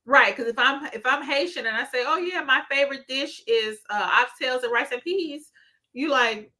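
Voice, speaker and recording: Blunt, profane woman, bright voice. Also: high voice, normal speed. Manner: blunt, profane, animated ranting. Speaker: podcast co-host. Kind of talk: casual chat.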